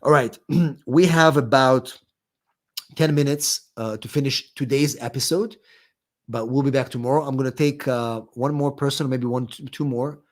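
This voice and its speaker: A middle-aged man with an Israeli accent answers viewers' questions on a live stream. He speaks in a relaxed, conversational way, often pausing with fillers and restarting sentences. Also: medium pitch. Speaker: immigration attorney, live stream host.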